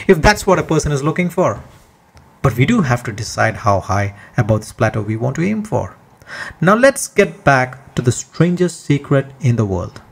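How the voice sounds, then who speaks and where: A man reading a scripted motivational text as narrator, with an emphatic, deliberate oratorical delivery. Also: on the high side.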